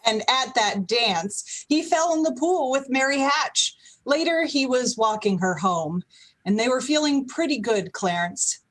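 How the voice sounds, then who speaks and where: An actress playing the storyteller who narrates events in a radio play. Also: female voice, sits high, lively intonation, measured pace, fairly bright tone, exaggerated voice. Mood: narrating. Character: storyteller recounting a young man's life.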